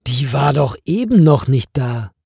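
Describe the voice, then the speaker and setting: A man's voice: medium pitch, big pitch swings, unhurried, creaky, warm tone, breathy edge, dry recording, clean studio sound, heavily acted. A man voicing an exasperated, puzzled female office worker in emphatic storybook dialogue. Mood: annoyed, surprised.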